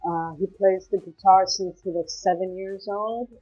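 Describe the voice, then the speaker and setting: A woman from Israel being interviewed, speaking hesitantly with many pauses. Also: fairly even intonation, warm tone, faint music behind. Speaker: guest, mother of a hostage. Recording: muffled low-quality call audio.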